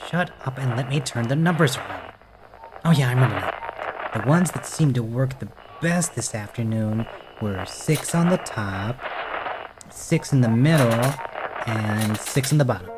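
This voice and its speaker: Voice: mid-range voice, expressive, measured pace, background noise, lightly acted. A performer playing a bossy, know-it-all boy, the older brother in an audio drama. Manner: snide, bossy. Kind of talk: audio horror-comedy drama.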